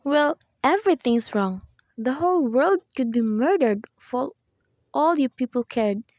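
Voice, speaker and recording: A woman's voice: high-pitched, animated, unhurried, darkish tone, no room sound, studio quality. A woman playing a nervous, irritable bedridden woman in a radio play, querulous, demanding and melodramatic. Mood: irritated, indignant.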